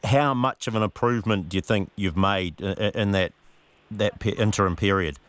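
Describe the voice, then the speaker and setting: A man's voice: lowish voice, moderate pace, fairly bright tone. A man interviewing as a radio sports editor, asking questions with small stumbles and restarts. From New Zealand.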